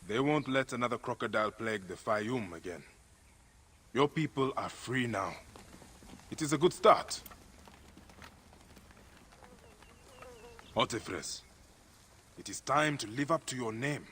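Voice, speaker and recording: An actor playing a brooding Egyptian warrior and vengeful grieving father in a game, speaking gruffly and with measured gravity. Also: mid-range voice, expressive, a bit bright, a little hiss or hum, lightly acted. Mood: grave, weary. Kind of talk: video game cutscene acting.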